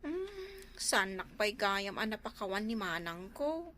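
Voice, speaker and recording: A woman's voice: mid-range voice, big pitch swings, a little slow, a crowd in the background. A woman with an Ilocano accent playing a meek, heartbroken younger sister-in-law in a comedy drama. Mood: shy, polite.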